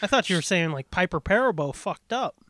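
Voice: mid-range voice, lively intonation, fairly quick, fairly bright tone, dry recording, studio quality. A podcast co-host bantering casually about movies, slangy and foul-mouthed, with a jokingly combative way of talking.

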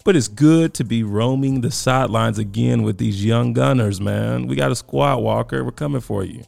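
Hoarse podcast co-host, gravelly low voice, easygoing and laughing, recorded dry and close. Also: male voice, moderate pace, no room sound. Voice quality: raspy, worn voice. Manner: laughs often, relaxed and chatty. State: hoarse from coaching. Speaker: podcast co-host and youth basketball coach. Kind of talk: casual chat.

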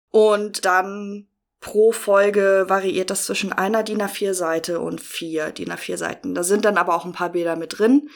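Casually chatting woman as podcast guest. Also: mid-range voice. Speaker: podcast guest, role-play writer. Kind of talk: casual chat.

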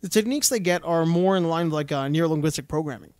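A young man chatting casually on a podcast, an animated storyteller full of restarts and "like" fillers. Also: on the high side, quick pace, no room sound, studio quality.

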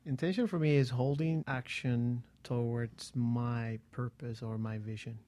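Measured guest with a mellow voice. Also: medium pitch, fairly even intonation. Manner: measured, repeats phrases step by step. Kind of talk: casual radio talk.

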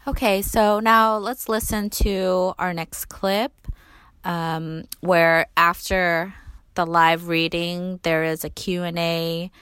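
A woman co-hosting a podcast, introducing recorded clips in a measured way. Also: fairly low pitch, flat delivery, fairly bright tone, smooth, no echo. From United States. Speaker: podcast co-host.

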